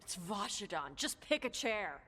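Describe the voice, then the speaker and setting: A woman playing a blunt, laconic young dragon hunter and treasure seeker in a video game, talking gruffly and informally. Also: medium pitch, big pitch swings, measured pace, bright, forceful tone, slight background noise. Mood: grumbling, blunt. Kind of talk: video game dialogue acting. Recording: music under the dialogue. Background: game music.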